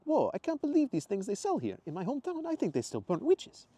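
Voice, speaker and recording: Earnest young foreign man, slow formal speech, high voice, voiced by a man. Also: huge pitch swings, dark tone, husky, no room sound, heavily acted. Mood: eager.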